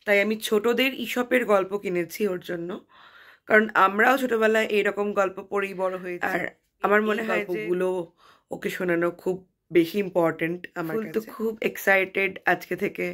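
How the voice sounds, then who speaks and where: A woman's voice: mid-range voice, fairly bright tone. A young adult woman with a Bengali accent talking casually as a home vlogger, mixing in English phrases. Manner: mixes English phrases into talk. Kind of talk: casual home vlog chat.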